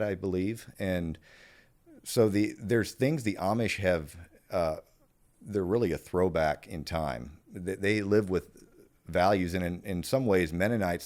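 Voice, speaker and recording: A man who grew up speaking Pennsylvania Dutch, with that accent, talking as a podcast guest in a thoughtful, halting way with many fillers and restarts. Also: sits low, a little mellow. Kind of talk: conversational podcast interview.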